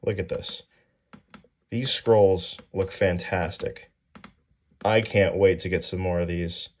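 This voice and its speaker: A man giving a casual show-and-tell product rundown as a hobbyist video host, informal and somewhat halting. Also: low voice, steady tone. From New England, United States.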